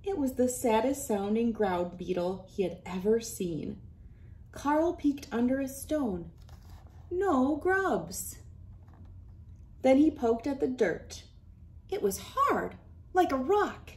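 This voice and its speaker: Animated woman reading a storybook, unhurried. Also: sits high. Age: 30s. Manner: expressive, deliberate storytelling delivery. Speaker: children's book reader. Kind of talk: read-aloud storybook narration. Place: small wood-panelled room indoors.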